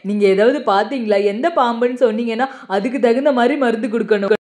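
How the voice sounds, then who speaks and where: A woman voicing a worried young village man, a healer's son, in a cartoon, speaking in a lowered, calm explaining tone. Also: quick pace, close to an everyday voice. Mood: concerned. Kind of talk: cartoon voice acting.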